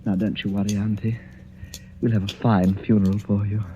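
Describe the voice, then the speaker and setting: An actor playing a young, greedy nephew with murder in mind, taunting and mock-affectionate, in old-time radio horror style. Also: male voice, fairly low pitch, level tone, fairly quick, very noisy, close to an everyday voice. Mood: menacing, gloating. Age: young adult. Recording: muffled vintage radio recording, music behind.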